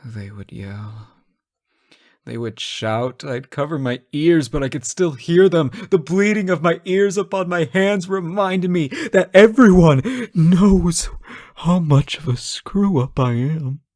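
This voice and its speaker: A man playing an anxious, devoted fallen angel in an emotional audio roleplay, speaking haltingly and pleadingly to the listener. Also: fairly high pitch, a little mellow. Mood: anguished.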